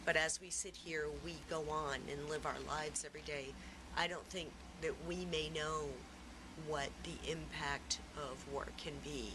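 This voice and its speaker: A middle-aged woman giving a reflective, personal interview, speaking haltingly as she searches for her words. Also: sits low, steady tone. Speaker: theatre performer being interviewed. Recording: clearly noisy room recording.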